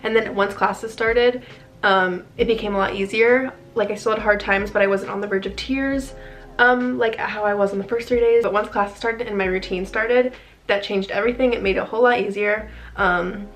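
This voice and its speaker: A woman's voice: medium pitch, fairly even intonation, normal speed. A young woman from New Jersey talking casually to camera as a vlogger, with lots of "um" and "like". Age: young adult. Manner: chatty, frequent "um" and "like". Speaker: vlogger, college freshman.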